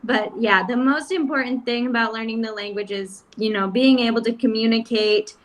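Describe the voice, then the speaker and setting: A woman's voice: on the high side, normal speed, rough recording. American woman guest, casual and chatty, laptop mic. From United States. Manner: relaxed, chatty, lots of "you know". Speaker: guest speaker. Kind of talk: casual video-call chat.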